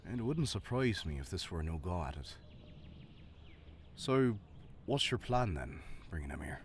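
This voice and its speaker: A man playing a wry, protective fantasy guardsman in an audio roleplay, with a theatrical, mock-pompous manner and a husky voice. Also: deep voice, big pitch swings, a little slow, slight background noise, heavily acted. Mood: skeptical. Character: male fantasy head guard's deputy, teasing, protective, exasperated friend.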